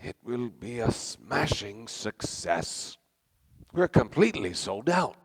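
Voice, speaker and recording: Boozy old-school theater star, super pompous, voice swoops around, big hall echo, radio play. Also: male voice, mid-range voice, very animated, slow, slightly rough, heavily acted. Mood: grandly mournful. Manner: theatrical, pompous, stately oratory. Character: hard-drinking, grandiose aging male stage star. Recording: long hall reverb.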